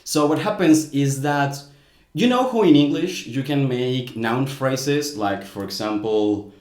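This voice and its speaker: A man's voice: medium pitch, animated, measured pace, a little mellow, reverberant. A man from Mexico whose first language is Spanish, speaking English with a Mexican accent and explaining grammar earnestly in a casual commentary, with frequent small restarts.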